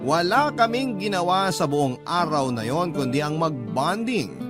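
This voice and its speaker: An earnest young Filipino man tells his story as radio drama narration. His voice is slightly high, and instrumental music plays clearly behind him. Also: big pitch swings, unhurried. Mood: earnest, reflective. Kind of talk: scripted first-person radio drama narration. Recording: music bed under narration.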